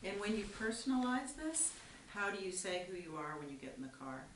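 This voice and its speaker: A woman's voice: medium pitch, sing-song, a little fast. A woman interviewer asking questions in a conversational style. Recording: roomy, hissy, off-mic sound.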